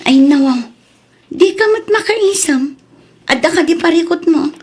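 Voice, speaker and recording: A woman with an Ilocano Filipino accent playing a nagging, sharp-tongued village wife and mother in a comedy audio drama. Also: extremely high pitch, animated, a little slow, exaggerated voice. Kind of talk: comedy radio drama acting.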